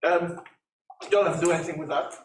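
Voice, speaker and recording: A man from industry speaking up from the audience in a conference discussion, forthright and practical, with a loud voice. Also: a little high, steady tone, fast talker, noisy background. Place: conference meeting room. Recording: echoey room, distant ceiling mics.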